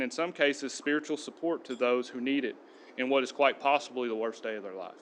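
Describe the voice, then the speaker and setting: A man, a police sergeant, giving a steady, earnest prepared speech at a podium in a council chamber. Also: mid-range voice, slight background noise. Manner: steady, earnest reading of a tribute.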